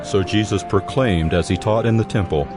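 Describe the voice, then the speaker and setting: A man reading scripted narration for a dramatized audio Bible in a measured, solemn way. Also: on the low side. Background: music.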